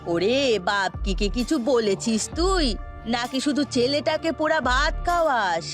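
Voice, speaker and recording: Sly older village aunt for audio melodrama played by a woman: fairly high voice, gossipy, meddling, insinuating, soft music behind. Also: lively intonation, normal speed, lightly acted. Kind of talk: melodramatic Bengali audio story acting. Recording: music under the voice.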